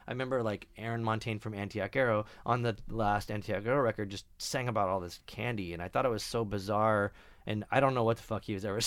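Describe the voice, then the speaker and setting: A man's voice: lowish voice, dry recording, studio quality. A man chatting casually on a podcast, rambling with lots of fillers and restarts and swearing now and then. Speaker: band member, podcast co-host. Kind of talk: casual chat.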